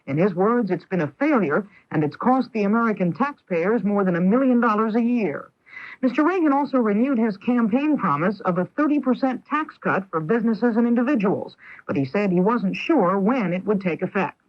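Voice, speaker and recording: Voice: medium pitch, animated, normal speed, highs cut off. A news anchor reading scripted radio news on an old 1980s broadcast.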